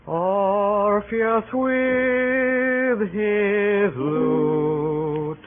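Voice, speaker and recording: A woman playing a young servant, singing a gentle, drawn-out lullaby in an old radio Shakespeare drama. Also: mid-range voice. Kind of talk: slow sung Shakespeare song in radio drama. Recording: muffled old radio recording.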